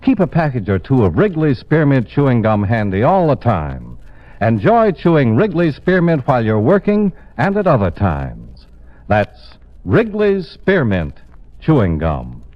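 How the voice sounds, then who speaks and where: Lively-pitched American radio announcer man. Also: unhurried. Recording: dull old radio recording.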